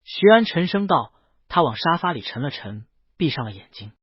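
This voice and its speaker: A man narrates a scripted story. His voice sits a little high and moves through lively, varied intonation, with a husky edge. The recording is close and dry, slightly muffled. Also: moderate pace, dry recording. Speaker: audiobook narrator.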